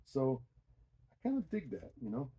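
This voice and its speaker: A man's voice: mid-range voice, wildly swooping pitch, normal speed, dark tone, husky, low-quality recording. A middle-aged man giving a casual, unscripted DIY explanation, thinking aloud in a rambling, self-deprecating way. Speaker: DIY hi-fi hobbyist presenter.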